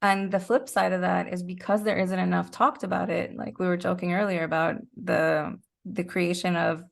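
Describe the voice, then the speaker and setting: Creaky-voiced middle-aged woman. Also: lowish voice, flat delivery, normal speed. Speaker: interview guest. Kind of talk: conversational explaining.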